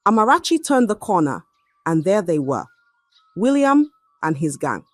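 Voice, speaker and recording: A woman storyteller giving a dramatic scripted narration of a folktale in short, measured phrases. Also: medium pitch, very animated, dry recording, soft background music.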